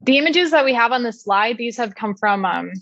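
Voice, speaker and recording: A woman giving a technical conference presentation, explaining clearly with frequent "uh" and "um" fillers. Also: fairly high pitch, lively intonation, fairly quick, a bit bright, no echo, highs cut off. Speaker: conference speaker.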